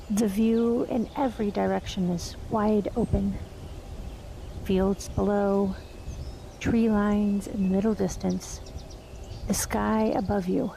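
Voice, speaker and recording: Woman meditation guide, slow and soft-spoken, mellow tone with a little vocal fry, long pauses. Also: mid-range voice, slight background noise.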